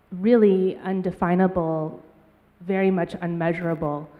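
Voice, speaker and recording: A woman's voice: lowish voice, warm tone. Woman, panel speaker, searching, many ums, reverberant hall. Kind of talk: conference panel talk. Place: conference hall.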